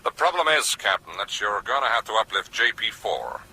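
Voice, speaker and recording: An actor playing a businesslike, unflappable airline operations officer in a radio drama, formal and matter-of-fact. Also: male voice, lowish voice, big pitch swings, moderate pace, bright, forceful tone, breathy edge, heavily acted, low-quality recording. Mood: apologetic, unmoved. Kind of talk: broadcast radio drama acting.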